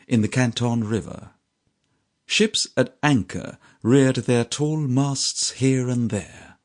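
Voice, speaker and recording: A man with a mid-pitched voice narrates literary prose from an audiobook. His intonation is highly animated, moving widely up and down. The delivery is measured and theatrical, in the manner of a storyteller. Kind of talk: scripted literary narration of a sea adventure.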